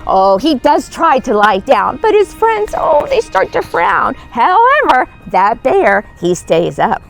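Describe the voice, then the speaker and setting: High-pitched lady doing storytime for little kids, super expressive and swoopy, bit of music behind her. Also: slow pace, creaky, poor audio. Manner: animated, exclaiming, coaxing, dramatic gasps. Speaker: costumed storyteller as Mrs. Claus. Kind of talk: expressive read-aloud storytelling to young children.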